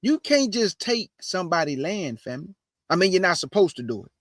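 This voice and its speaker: High-voiced preachy man, bone-dry sound. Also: big pitch swings, fairly quick, fairly bright tone, no echo. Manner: preachy, addresses audience as family. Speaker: host. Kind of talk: casual religious commentary.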